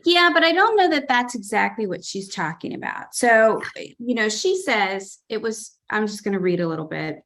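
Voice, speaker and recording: A woman's voice: medium pitch, lively intonation, normal speed, few pauses, fairly bright tone. A middle-aged woman presenting a writing workshop over a video call, earnest and enthusiastic as she reads excerpts aloud. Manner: earnest, enthusiastic about examples.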